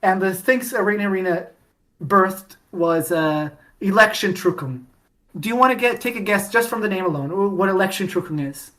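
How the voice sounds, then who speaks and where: A woman's voice: medium pitch, moderate pace, a bit bright. A woman co-hosting a fan podcast, talking casually with lots of restarts and fillers as she retells fandom history. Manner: rambling, many restarts and fillers, laughs often. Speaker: podcast co-host.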